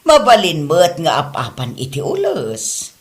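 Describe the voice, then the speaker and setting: A woman's voice: deep voice, huge pitch swings, unhurried, exaggerated voice. A woman acting as a doting but meddling, thrifty mother of a grown son in a comedy drama. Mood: insistent.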